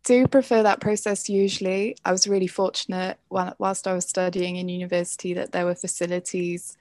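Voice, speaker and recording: A woman's voice: lowish voice, level tone, a little fast, darkish tone, dry recording. A young woman from Wales, a photographic artist, talking through her work in a thoughtful, hedging way. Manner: thoughtful, hedging, frequent "like" and "I think". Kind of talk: conversational interview.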